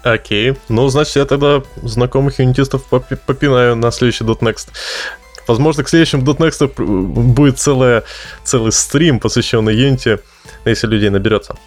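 A man with a mid-pitched voice hosts a casual stream, jokey and openly enthusiastic. Faint music plays softly behind him. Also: moderate pace. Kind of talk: casual panel discussion.

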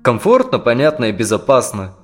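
An actor playing a tense, dissatisfied male therapy client in his thirties, speaking haltingly in a naturalistic audio drama. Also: mid-range voice, huge pitch swings, faint music behind. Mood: anxious, hesitant. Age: 30s. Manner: hesitant, halting, sighing speech.

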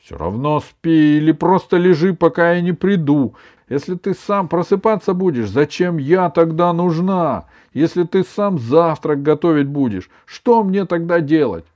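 A man's voice: fairly high pitch, expressive, a little slow, a little mellow, lo-fi sound, voice pushed up. A man voicing a bossy, doting old grandmother in a children's story, with an emphatic, plaintive, scolding delivery. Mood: indignant, scolding.

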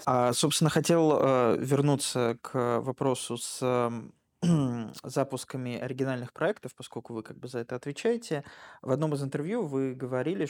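A man's voice: medium pitch, darkish tone. Man interviewing in a hesitant way. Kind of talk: casual interview questioning.

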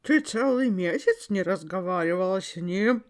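A woman's voice: medium pitch, expressive, moderate pace, voice pushed down, natural voice. A woman voicing a tender old English grandmother counselling her granddaughter in an audio story reading. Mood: gentle, concerned.

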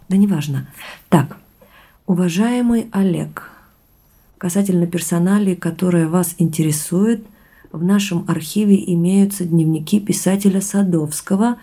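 Thoughtful young woman, creaky and mellow. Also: on the low side, moderate pace. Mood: eager. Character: thoughtful young woman sharing family mystery with boyfriend. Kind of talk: naturalistic audio drama dialogue. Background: music underneath.

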